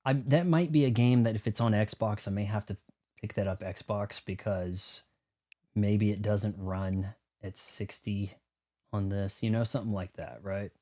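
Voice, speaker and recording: A man's voice: lowish voice, fairly even intonation, measured pace, mellow. A man giving casual, rambling let's play commentary on a video game, talking to himself as he goes. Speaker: streamer playing a video game. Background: game music.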